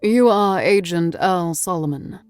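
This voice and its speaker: A woman plays an unruffled British gentleman of the law for an audiobook. His lowish voice is cultured and precise, courteous yet aloof. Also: unhurried, clean tone, no room sound, faint music behind, close to an everyday voice. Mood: polite, composed. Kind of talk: audiobook character voice.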